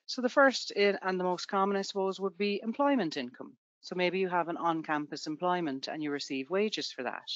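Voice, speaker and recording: A woman's voice: fairly low pitch, a little fast, hardly any pauses, dry recording, dull audio. A woman from Ireland with an Irish accent, presenting a tax webinar to students in a conversational way with everyday examples.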